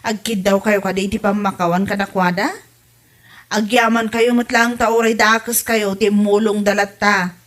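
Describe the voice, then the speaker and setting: A woman's voice: medium pitch, steady tone, normal speed, fairly bright tone, close to an everyday voice. A woman playing a dutiful, outspoken grown daughter in an audio comedy-drama, earnest and admonishing. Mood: earnest, scolding. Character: dutiful, outspoken grown daughter looking after her mother.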